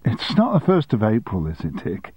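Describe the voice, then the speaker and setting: A man voicing an indignant, sharp-tongued longtime woman secretary with cutting, sarcastic retorts, on a dry, slightly dull recording. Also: lowish voice, huge pitch swings, normal speed, husky, no room sound, heavily acted.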